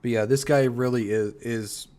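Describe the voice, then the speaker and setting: A man's voice: medium pitch, steady tone, moderate pace, darkish tone. Blunt, cursing male reaction streamer. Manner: blunt, profane ranting with frequent swearing. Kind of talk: casual reaction commentary.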